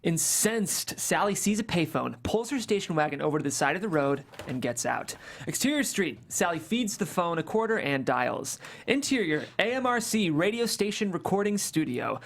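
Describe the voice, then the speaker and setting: A woman's voice: lowish voice, sing-song, moderate pace. A woman hosting a script table read, speaking casually and narrating stage directions.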